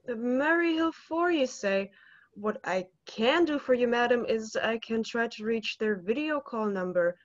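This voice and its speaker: A man's voice: very high-pitched, unhurried, no echo. A young man playing a polite, apologetic telephone operator in a drama, speaking formally and hesitantly over a video call. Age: young adult. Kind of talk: stage-style dramatic acting. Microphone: computer video call, wearing headphones. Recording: dull video-call sound.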